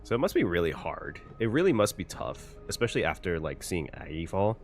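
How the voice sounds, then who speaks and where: A man's voice: deep voice, big pitch swings, brisk, faint music behind. A man giving casual, chatty reaction commentary on a game, thinking aloud as he goes. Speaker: streamer reacting to a game story.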